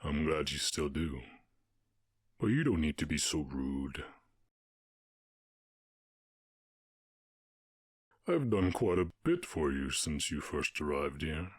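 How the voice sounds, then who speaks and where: Man playing a very deep, husky orc warchief. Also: big pitch swings, no echo, clean studio sound, heavily acted. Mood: teasing, condescending. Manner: slow, measured, condescending. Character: commanding orc warchief, honorable, calm, teasing, menacing. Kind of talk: ASMR roleplay acting.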